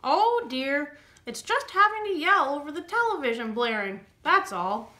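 A puppet-show wolf posing as a dear old granny acted out by a woman, cooing in a high, honeyed voice. The pitch swoops slowly about in a roomy recording. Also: very animated, bright, forceful tone, heavily acted. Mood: falsely sweet.

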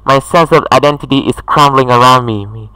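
A man's voice: medium pitch, a little fast, pushed and bright, smooth, no room sound, low-quality recording. A man delivering a dramatic, scripted inner monologue.

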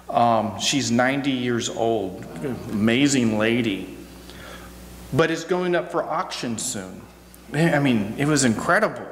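A middle-aged American man giving a reflective sermon as guest preacher, measured, with deliberate pauses and repeated phrases, at a church pulpit microphone. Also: medium pitch, a bit bright. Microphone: gooseneck pulpit microphone. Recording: echoey hall, noticeable hum.

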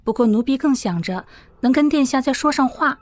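An actress playing a frail, clever young maid in an audio drama, a devoted yet scheming servant. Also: female voice, high voice, animated, a little fast, no room sound. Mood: wistful, composed.

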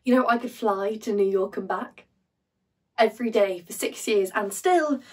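A woman performing a dramatic stage monologue as an anxious, agitated young woman who rants in a halting, intense way. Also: a little high, slightly rough, distant mic, pitched above the performer's own voice, exaggerated voice. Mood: incredulous, anxious. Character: anxious, ranting, educated young woman debating motherhood.

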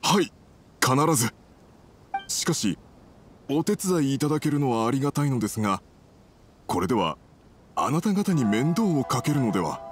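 A man voicing an animated, troubled foreign merchant. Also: medium pitch, moderate pace, pauses often, slight background noise, close to an everyday voice. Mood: worried, deferential. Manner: formal, humble, hesitant. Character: polite, troubled foreign merchant, apologetic and deferential. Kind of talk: Japanese game dub dialogue.

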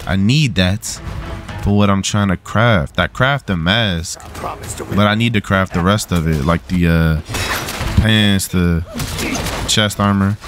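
A man's voice: low voice, slow, long pauses. A man giving casual, slangy gameplay commentary, swearing at the game while getting over a cold. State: getting over a cold, slightly rough throat. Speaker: gaming video host. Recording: game music playing behind.

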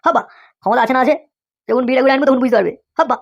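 Whiny lazy cartoon boy, really high voice, talks super fast. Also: gravelly. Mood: boastful, grumbling. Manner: pleading, whiny, peppered with exclamations. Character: lazy whiny schoolboy cartoon rascal dreaming of marriage. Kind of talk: comic cartoon dialogue.

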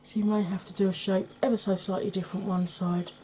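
A middle-aged woman with a Welsh accent giving an ASMR makeup tutorial at home, talking softly and often in a whisper, chatty with a dry sarcastic streak. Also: medium pitch, flat delivery, quick pace, a little hiss or hum. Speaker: beauty YouTuber. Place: indoors at home, face in close-up.

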